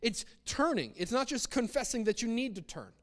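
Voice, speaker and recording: A man's voice: extremely high pitch, very animated, moderate pace. A man preaching a sermon in a conversational but emphatic way, repeating phrases for stress. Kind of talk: sermon with acted-out example quotes. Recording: long hall reverb.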